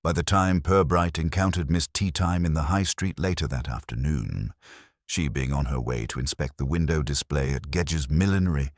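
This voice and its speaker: A man reading a mystery story as a scripted narrator, in a measured, formal way. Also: a bass voice, no room sound, studio quality.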